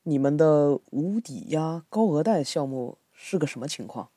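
Radio drama role: anxious, grumbling migrant father, colloquial delivery, mid pitch, dry sound, acted out. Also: expressive, moderate pace, mellow, no room sound, natural voice. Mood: doubtful, questioning. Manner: sighing, complaining, colloquial. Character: worried migrant father seeking a loan in the city. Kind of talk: amateur radio drama acting.

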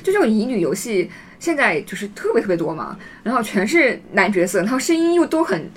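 A woman's voice: mid-range voice, big pitch swings, brisk, breathy edge. A talkative woman hosting a casual group chat, speaking in a reflective way. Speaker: podcast host. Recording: faint background noise.

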